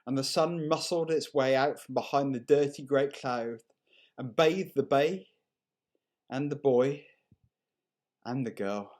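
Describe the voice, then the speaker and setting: A man reading a short story aloud in an unhurried, measured and expressive storytelling manner. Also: medium pitch. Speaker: story reader. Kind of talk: dramatic short story narration.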